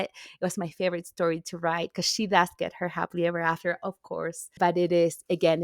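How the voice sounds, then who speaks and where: Middle-aged author guest, from Argentina, native Spanish speaker; low, gravelly, chatty, restarts often. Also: female voice, no room sound, studio quality. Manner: chatty, laughs often, self-correcting restarts. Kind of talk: podcast interview.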